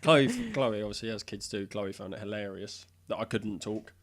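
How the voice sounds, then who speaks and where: A man's voice: on the low side, moderate pace, a crowd in the background. A man co-hosting a podcast in casual chat, whose voice is still recovering, telling stories and acting out what people said. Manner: chatty storyteller, acts out dialogue. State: throat still recovering, occasional cough. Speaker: podcast co-host.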